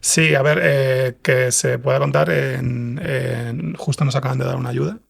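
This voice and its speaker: A man with a Spanish accent from Spain, with a gravelly, husky voice, chatting casually as a guest writer in an interview. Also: mid-range voice, fairly even intonation, a little slow. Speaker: guest screenwriter and fiction podcast writer.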